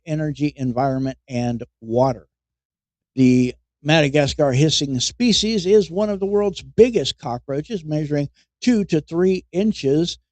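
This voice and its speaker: A man hosting a podcast, giving mocking news commentary in a halting way and chuckling at his own jokes. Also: on the high side, darkish tone, dry recording. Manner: halting, repeats words as he goes. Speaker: podcast host.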